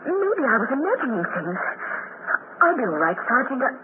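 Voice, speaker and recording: An actress playing a frightened, highly strung young woman in a 1950s radio drama, trying to be brave and often faltering. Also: sing-song, moderate pace. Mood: anxious. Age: young adult. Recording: muffled, noisy 1950s radio recording.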